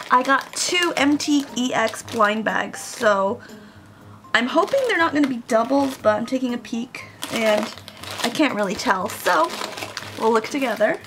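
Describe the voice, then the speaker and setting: A woman recovering from a cold chats directly to her viewers while unboxing at home. Her slightly high voice carries a gravelly edge, with a faint background hiss. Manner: chatty, addresses viewers directly. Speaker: craft vlogger. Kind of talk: casual unboxing haul. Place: home room with air conditioning, in Japan.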